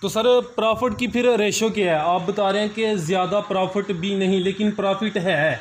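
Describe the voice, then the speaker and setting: A man conducts an interview. He is polite and deferential, pausing hesitantly as he puts his questions. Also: high-pitched, steady tone, a little hiss or hum. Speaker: interviewer, channel host. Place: cattle farm.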